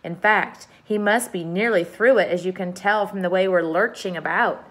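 A woman reading aloud as a kind, gentle female ladybug in a storybook. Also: mid-range voice, normal speed, lightly acted.

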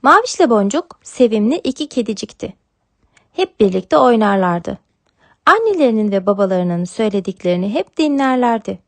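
A woman's voice: mid-range voice, big pitch swings, moderate pace. A woman narrating a scripted storybook tale for children as a storyteller.

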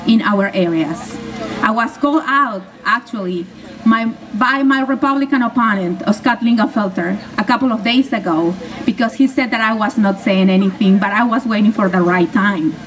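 Peruvian-born woman speaking at an outdoor rally. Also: a little high. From Peru. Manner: earnest, emphatic speech. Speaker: political candidate. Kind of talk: rally speech. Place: outdoor stage in a park. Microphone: podium mic recorded from a distant camera. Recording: distant outdoor PA sound.

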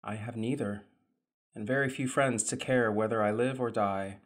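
An actor playing an earnest, soft-spoken young male tutor in an audio drama, formal and polite. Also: fairly low pitch, steady tone, normal speed, close to an everyday voice. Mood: gentle. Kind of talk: radio play acting.

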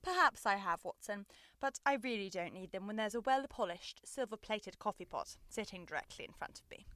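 Animated, superior Sherlock Holmes, played by a woman. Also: mid-range voice, fairly quick, no room sound, natural voice. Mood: dryly amused. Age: young adult. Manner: dry, condescending. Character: Sherlock Holmes, shrewd, dry, superior male detective. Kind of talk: 1930s-style radio drama acting.

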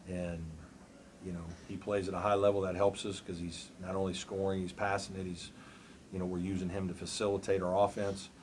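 A man in his forties, a college basketball coach, answering questions at a postgame press conference in a measured, thoughtful way with many fillers. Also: low voice, level tone, darkish tone, a little hiss or hum. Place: press room before a backdrop. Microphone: table mic on a stand.

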